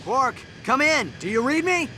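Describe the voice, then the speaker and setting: A man playing a brisk, determined young alien adventurer in a video game, urgent and practical. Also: very high voice, big pitch swings. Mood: focused. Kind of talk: video game cutscene acting.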